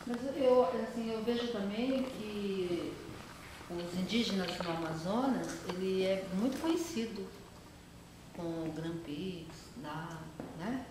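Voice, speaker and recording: A woman's voice: medium pitch, slow. A woman student thinking aloud hesitantly during a class discussion. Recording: echoey room, noisy.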